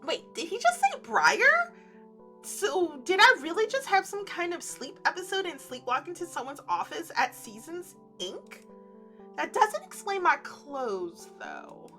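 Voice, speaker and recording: A woman reading a visual novel aloud as a gaming creator, expressive and giggly, with gushing casual asides. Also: extremely high pitch, unhurried, faint music behind. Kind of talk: playful let's play read-aloud with casual reactions.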